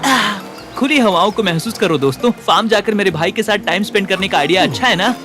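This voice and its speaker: A man voicing a cheerful, naive, playful cat owner in an animated cartoon. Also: high-pitched, brisk, faint music behind. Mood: cheerful eagerness. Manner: eager, playful.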